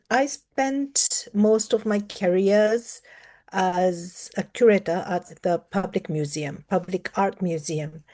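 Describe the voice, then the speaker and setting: A woman's voice: fairly low pitch, mellow. A woman professor who grew up in Japan, giving a hesitant self-introduction at an online briefing, with many fillers and restarts. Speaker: professor and former museum curator.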